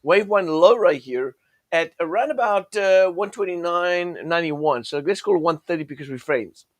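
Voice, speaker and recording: A man with a cold talks through trading charts in a loose, chatty way, stumbling over fillers and restarts. His voice sits fairly high and sounds a little croaky. The recording is dry and close. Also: normal speed, no room sound. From United States. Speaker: forex trading show host. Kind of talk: casual chart commentary.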